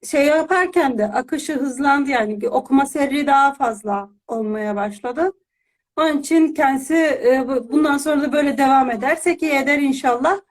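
A woman's voice: high voice, moderate pace. A woman speaking as a mother, giving a conversational testimonial in an interview.

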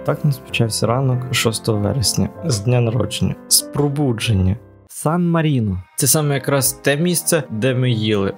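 A man's voice: medium pitch, lively intonation, moderate pace. Wry man narrating a travel vlog. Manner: wry, joking asides. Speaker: travel vlogger. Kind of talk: casual voice-over narration. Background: music.

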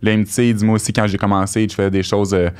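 A man's voice: on the low side, very fast, clear, resonant tone. A young man with a Québécois accent telling a story as a podcast guest, acting out the lines of the people in it, on a studio microphone. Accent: Québécois French. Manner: animated storyteller, acts out quoted dialogue. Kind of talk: casual storytelling. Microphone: studio mic on boom arm.

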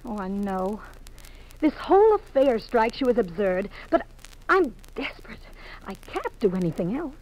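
A woman's voice: on the high side, sing-song, moderate pace, a little mellow, a little hiss or hum, rough recording. An actress playing an anxious, hesitant married woman with an imploring manner, in 1950s radio drama style. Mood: desperate pleading.

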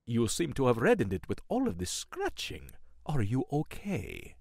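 Dude playing polite old-timey gentleman host in a horror audio play, super expressive, gets alarmed easily. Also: medium pitch, normal speed, creaky, breathy edge, no echo, clean studio sound, heavily acted. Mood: courteous, reassuring. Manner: polite, old-fashioned, theatrical. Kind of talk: gothic horror radio play acting.

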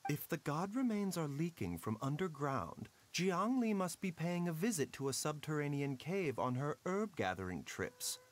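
A polite doctor, formal and measured, lively intonation, game character. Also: male voice, on the high side, a little hiss or hum. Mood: courteous. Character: courteous, smooth-spoken male pharmacist doctor.

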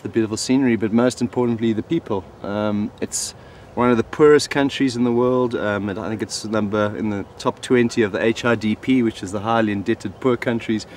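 A man on an expedition, giving commentary to camera for a documentary. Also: on the low side. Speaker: expedition member. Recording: faint background noise outdoors.

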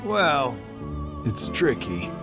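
An actor playing a sly, cocky middle-aged villain in a video game, with a sarcastic, evasive drawl. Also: male voice, medium pitch, very animated, extremely slow, over music. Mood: dismissive. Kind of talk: cinematic video game voice acting.

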